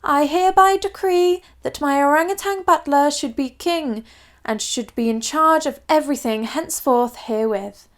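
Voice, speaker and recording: Storybook queen, elderly, British, high voice, formal, mischievous and grand female reader performing. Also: moderate pace, natural voice. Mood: proclaiming. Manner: posh, formal, addresses herself as "one".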